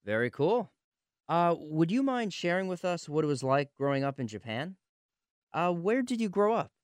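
A man's voice: a little high, very animated, moderate pace, clear, resonant tone, dry recording, clean studio sound. A man hosting a music radio interview, putting prepared questions in a polite, courteous manner.

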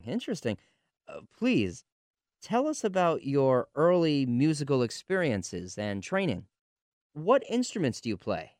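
A man's voice: mid-range voice, wildly swooping pitch, a little slow, a little mellow, dry recording, clean studio sound. A man hosting a music radio interview, putting prepared questions in a polite, courteous manner.

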